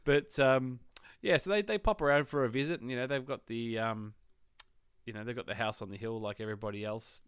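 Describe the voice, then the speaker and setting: An Australian man hosts a laid-back podcast, his voice sitting in an ordinary middle range. He chats freely, laughs easily and thinks out loud. The sound is dry and close, with no room around it. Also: mid-range voice, brisk, clear, resonant tone, dry recording. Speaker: podcast host. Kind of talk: casual podcast chat.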